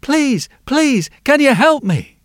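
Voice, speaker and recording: A man's voice: very high-pitched, big pitch swings, slow pace, dry recording, studio quality, pitched above the performer's own voice, exaggerated voice. A man voicing a small girl who begs earnestly and keeps repeating her pleas. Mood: pleading.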